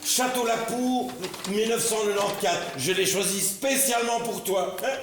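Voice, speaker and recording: A man's voice: high-pitched, normal speed, noisy background, close to an everyday voice. An actor playing a condescending middle-aged politician father, ironic and mock-polite, in a stage comedy. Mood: teasing. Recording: very echoey stage recording.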